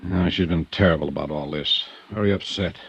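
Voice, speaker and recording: A man's voice: low-pitched, brisk, very noisy. An actor playing a stern, domineering middle-aged father, a wealthy horse owner, in a 1950s radio drama, brusque and imperious. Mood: stiff, aggrieved. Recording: muffled old radio recording.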